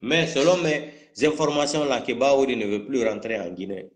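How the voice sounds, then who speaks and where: A man's voice: mid-range voice, normal speed. A man with a Guinean French accent speaking off the cuff in live political talk, outspoken and combative, repeating phrases for emphasis. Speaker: political activist commentator.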